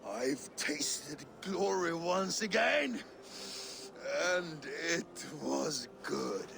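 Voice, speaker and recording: A man's voice: on the high side, very animated, drawn-out words, creaky, noisy background, exaggerated voice. An actor playing a big drunken Viking warrior in a video game, weary and wistful beneath his bluster. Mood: drunken musing. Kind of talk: video game drama acting.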